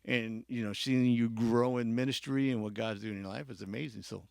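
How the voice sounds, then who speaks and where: A man's voice: mid-range voice, fairly even intonation, a little fast, gravelly, a little mellow, no echo, clean studio sound. A man from Hawaii speaking as a podcast guest, telling his story in an informal, rambling, self-correcting way. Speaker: podcast guest, church worship director. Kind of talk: casual personal storytelling, chat.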